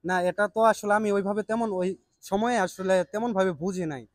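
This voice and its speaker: Fast-talking young Bangladeshi man, higher-pitched voice. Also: steady tone, no room sound, studio quality. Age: young adult. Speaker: prank vlogger. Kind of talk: casual spoken prank call and vlog intro.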